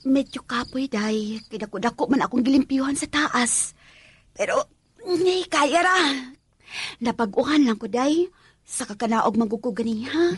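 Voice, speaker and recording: A woman's voice: a little high, expressive, measured pace, breathy edge, no room sound, full character voice. A woman with a Filipino Cebuano accent playing a naive, easily awed young housemaid in a radio drama. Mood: chatty, weary. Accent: Filipino, Cebuano-speaking. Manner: wide-eyed, exclaiming, girlish.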